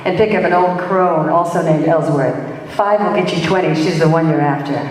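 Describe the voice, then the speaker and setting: A woman's voice: sits low, moderate pace, darkish tone, close to an everyday voice. Woman playing hard-boiled lady detective, echoey hall. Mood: urgent, confident. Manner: hard-boiled, wry, slangy. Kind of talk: 1940s radio detective play acting. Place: live stage performance in a large room. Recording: echoey hall, recorded from far off.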